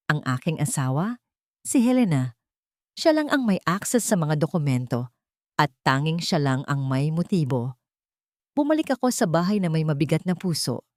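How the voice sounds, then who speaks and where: A woman's voice: fairly low pitch, darkish tone, no room sound, clean studio sound. A woman reading a scripted, dramatic first-person story as an audio narrator.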